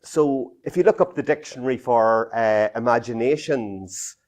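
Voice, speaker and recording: A middle-aged Irish man giving an unscripted talk, halting with many fillers and restarts, in a small meeting room heard from a camera some distance away. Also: medium pitch, a little mellow. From Ireland. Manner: halting, many fillers and restarts, gestures a lot while talking.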